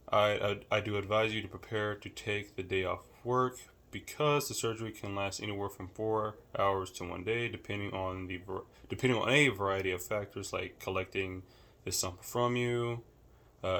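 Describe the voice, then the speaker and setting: A young man with a deep voice records a class assignment, talking casually and thinking aloud with many fillers. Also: creaky, fairly bright tone. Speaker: student. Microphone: camera held or set nearby.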